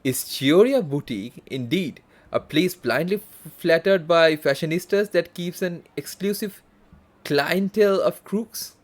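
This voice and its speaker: A man live streaming a game, reading text aloud haltingly with self-corrections and casual asides. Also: a little high. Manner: halting, stumbles and corrects himself while reading. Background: faint game music.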